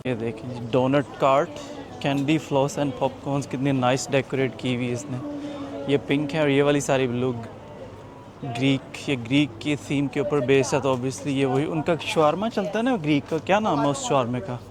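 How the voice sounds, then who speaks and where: A man's voice: mid-range voice, steady tone, normal speed, crowd noise. A young man chatting casually to viewers as he walks through a busy tourist area. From Pakistan. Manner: chatty, jokey, talks to viewers while walking. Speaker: travel vlogger. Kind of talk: casual walking vlog narration. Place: outdoors at a busy tourist waterfront. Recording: outdoor street noise. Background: street noise and traffic.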